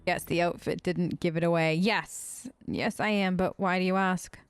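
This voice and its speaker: A woman's voice: lowish voice, fairly even intonation, normal speed, clean tone, soft background music. A woman hosting a gaming video, role-playing her side of the dialogue in a chatty, wry, informal way. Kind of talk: let's play role-play, casual in-character dialogue.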